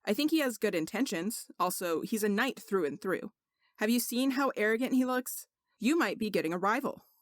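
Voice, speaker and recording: A woman's voice: mid-range voice, expressive, normal speed, no echo, studio quality, close to an everyday voice. A woman voicing a humble, wry male servant in a story, understated and deferential. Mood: wry, casual.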